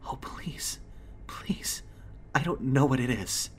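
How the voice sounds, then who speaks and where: A scared little girl in a horror story, acted out by a male narrator, whimpering and pleading. The voice is hushed and breathy, with halting, fearful phrasing. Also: mid-range voice, lively intonation. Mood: panicked, pleading. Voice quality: whispery, breathy. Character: frightened sobbing young girl caller, pleading in whimpers.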